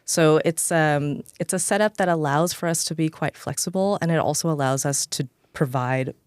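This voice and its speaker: A woman's voice: low-pitched, moderate pace, a little mellow, smooth, no echo, studio quality. A woman from Los Angeles with an American accent, a guest on an interview podcast, speaking in a friendly and fluent way with frequent restarts. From Los Angeles, United States. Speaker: head of talent acquisition, guest.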